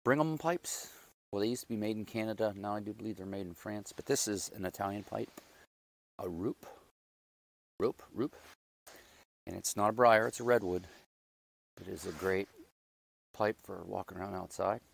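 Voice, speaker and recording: Low, gravelly man chatting in his garden. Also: moderate pace, pauses often, rough recording. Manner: rambling, self-deprecating, chatty. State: raspy from a loud night out. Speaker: gardening vlogger. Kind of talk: casual chat while doing garden chores. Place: outdoors in a backyard garden. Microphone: lapel mic.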